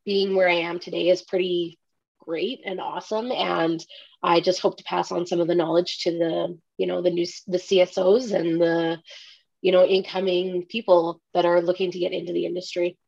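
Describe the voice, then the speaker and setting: A young woman talks casually as a panelist. Her voice sits a little low with noticeable fry, and the audio is somewhat dull. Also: little pitch movement, moderate pace, darkish tone. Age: young adult. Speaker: panelist, cybersecurity consultant. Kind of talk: casual self-introduction.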